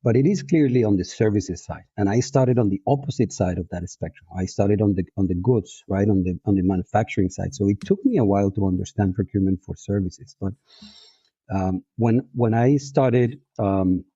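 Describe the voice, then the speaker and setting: A man's voice: lowish voice, normal speed, warm tone, husky, no room sound. A man in his forties from Colombia with a Colombian accent, a podcast guest talking in a reflective way with restarts and easy laughs. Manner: reflective, frequent restarts and fillers, laughs easily. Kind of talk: casual interview chat. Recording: dull, muffled audio.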